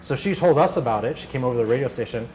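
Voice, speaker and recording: A man's voice: mid-range voice, lively intonation, very fast, a little mellow, rough recording. A man giving an informal workshop talk as a radio organizer, conversational and telling anecdotes in reported dialogue. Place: workshop room, live talk. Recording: clear background noise.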